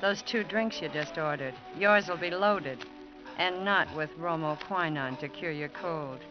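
A woman's voice: deep voice, a little slow. An actress playing a tough, slangy, world-weary American bar girl in a vintage radio drama. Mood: cool, confiding. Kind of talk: 1950s radio drama acting. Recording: dull vintage radio recording with music.

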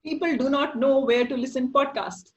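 A woman's voice: sits high, normal speed, highs cut off. A middle-aged woman with a slightly nasal voice, a voice-over artist talking casually as a podcast guest, instructive and playful. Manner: instructive, playful, laughs often. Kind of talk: casual interview.

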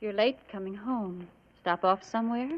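A woman acting an anxious mother in an old radio play. She is gentle and pleading, with a medium-pitched voice. The recording is dull and muffled. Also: normal speed, lightly acted. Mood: concerned. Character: worried, pleading mother of a grown son. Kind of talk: 1950s American radio drama acting.

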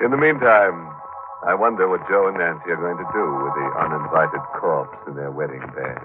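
An actor playing a sardonic, tongue-in-cheek horror host with an American accent in 1940s radio style, savoring morbid puns. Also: male voice, sits low, brisk, close to an everyday voice. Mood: gleefully morbid. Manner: sardonic, mock-cozy, teasing the listener. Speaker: host and narrator of a radio mystery show. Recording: scratchy 1940s radio, narrow band, music behind.

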